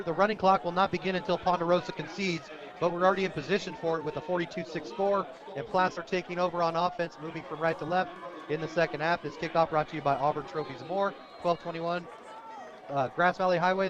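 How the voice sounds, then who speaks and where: A high-voiced American man works as a radio play-by-play announcer for a football game. He talks conversationally in short, punchy phrases. The broadcast sound is dull and lo-fi. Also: fairly even intonation, quick pace, crowd noise.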